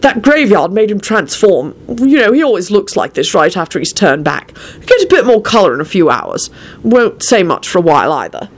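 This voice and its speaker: A woman takes the part of an easygoing man in an audiobook. He sounds unruffled and breezy, reassuring everyone with mild good cheer and lively intonation. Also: mid-range voice, moderate pace.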